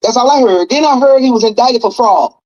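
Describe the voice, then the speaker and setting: A woman's voice: a little high, fairly quick, darkish tone. A woman giving blunt, humorous commentary in a clip that is being played back. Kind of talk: casual commentary. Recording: dull lo-fi clip audio.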